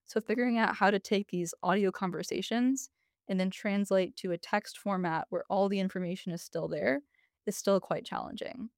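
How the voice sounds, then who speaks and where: A woman's voice: medium pitch, steady tone, normal speed, dry recording, studio quality. A woman speaking as an interview guest, explaining casually and thinking aloud with "like" and "you know".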